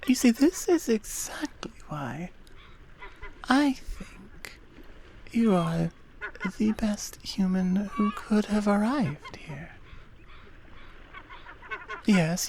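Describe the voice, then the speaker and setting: A woman playing a regal, centuries-old Amazon queen in an ASMR roleplay, speaking softly and formally with deliberate pauses. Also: mid-range voice, a little hiss or hum. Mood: warm, approving. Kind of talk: ASMR roleplay audio drama, addressed to the listener.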